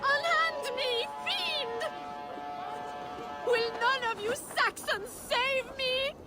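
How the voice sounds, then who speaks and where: A woman's voice: very high voice, extremely slow, heavily acted. A woman acting a playful, melodramatic noblewoman with a teasing manner in a video game cutscene. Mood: mock distress. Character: theatrical, playful noblewoman, teasing and thrill-loving. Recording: music behind the voice.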